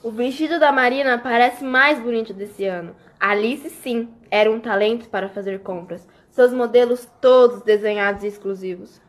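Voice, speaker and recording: Woman doing catty society lady in a radio soap, kinda high voice. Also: fairly even intonation, moderate pace, hardly any pauses, close to an everyday voice. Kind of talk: amateur radio drama acting.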